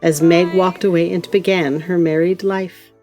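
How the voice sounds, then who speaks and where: An actress playing a gentle mother of grown daughters in a period audio play, speaking and narrating in a tender, measured way. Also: lowish voice, flat delivery, lightly acted. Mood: tender, reflective. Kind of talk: period audio drama acting and storytelling narration. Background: music, guitar.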